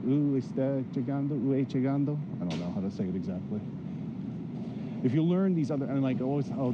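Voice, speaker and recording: A man vlogging while walking through a city street, thinking aloud in a casual, rambling way with plenty of fillers. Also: medium pitch. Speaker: travel vlogger. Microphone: handheld camera while walking. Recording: dull lo-fi street recording with loud traffic. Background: loud traffic noise.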